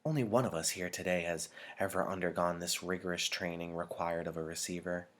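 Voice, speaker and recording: A man reading the part of a solemn woman leader who addresses her community formally and deliberately in an audiobook. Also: low voice, steady tone, a bit bright. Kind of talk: audiobook character dialogue.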